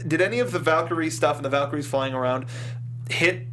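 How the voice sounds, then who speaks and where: A man's voice: a little high, quick pace, fairly bright tone, slight background noise. A man co-hosting a movie review show, talking casually and giving commentary on films and games. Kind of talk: casual chat, film and game commentary.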